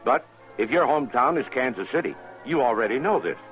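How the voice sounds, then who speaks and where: A man's voice: medium pitch, lively intonation, a little fast, fairly bright tone, faint music behind. A man reading scripted narration as an announcer in 1950s radio style. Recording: muffled old radio recording.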